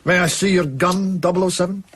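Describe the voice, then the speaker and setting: A man's voice: high-pitched, steady tone, fairly quick, fairly bright tone, a little hiss or hum. A man playing a deferential military armourer in a radio drama, terse and matter-of-fact.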